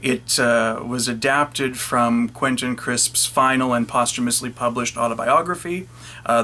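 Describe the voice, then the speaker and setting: Male actor-playwright, bright forceful voice, medium pitch, presentational introduction. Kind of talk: presentational spoken introduction to camera.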